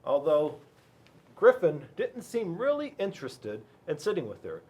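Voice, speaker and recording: A man reading a storybook aloud to children in an expressive, chatty way, addressing his young listeners directly. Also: a little high, measured pace. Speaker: police school resource officer reading to children.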